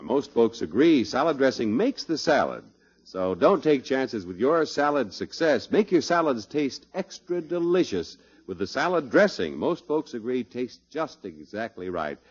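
A man's voice: mid-range voice, slightly rough, highs cut off. An American man announcing in scripted 1950s radio style, on an old recording.

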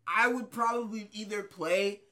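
A young man, an actor, chatting casually with a light voice and animated, excitable bursts of pitch and loudness. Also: moderate pace. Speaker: actor guest. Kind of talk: casual chat.

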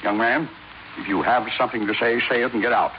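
An actor playing a tough, irascible old family patriarch in a curt, domineering manner, in 1950s radio drama style. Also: male voice, mid-range voice, quick pace, close to an everyday voice. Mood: irascible, blustering. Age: older. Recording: noisy muffled 1950s radio recording.

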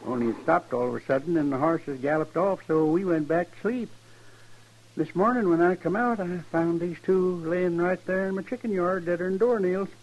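Creaky, mild-mannered homesteader. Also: male voice, high voice. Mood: innocently matter-of-fact. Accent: rural American. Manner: unhurried, matter-of-fact, folksy. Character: mild, folksy homesteader, sly and innocent-sounding. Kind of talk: 1950s radio western acting. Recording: dull old radio recording.

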